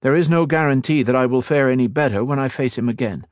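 A man voicing an earnest, calm male mage lord who speaks evenly and respectfully. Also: mid-range voice, quick pace, no echo, clean studio sound, pitched above the performer's own voice, lightly acted.

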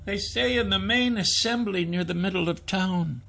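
Male narrator as little boy, high voice, solemn, earnest, simple phrasing, fantasy audiobook. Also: normal speed, vocal fry, bright, forceful tone, soft background music, exaggerated voice. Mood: disdainful. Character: frightened little boy, plain-spoken and solemn.

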